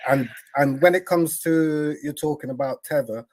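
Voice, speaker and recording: A man speaking as a guest on a live stream panel. His voice sits at an ordinary pitch, and he talks earnestly, haltingly, stopping and restarting his sentences. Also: darkish tone, rough recording. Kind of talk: casual livestream chat.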